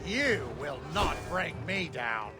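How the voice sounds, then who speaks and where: An actor playing a proud, menacing Roman general in a video game, with a measured, taunting manner. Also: male voice, on the high side, very animated. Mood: defiant, scornful. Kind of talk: dramatic video game acting. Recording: cavernous long reverb, loud music.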